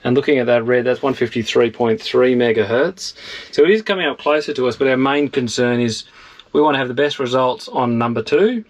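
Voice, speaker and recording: A man working as a marine technician, giving a measured technical explanation. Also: mid-range voice. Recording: noisy on-location ambience.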